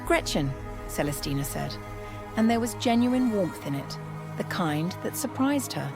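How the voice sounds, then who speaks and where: A woman reading scripted story narration in a measured, literary way. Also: medium pitch, lively intonation, vocal fry. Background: music.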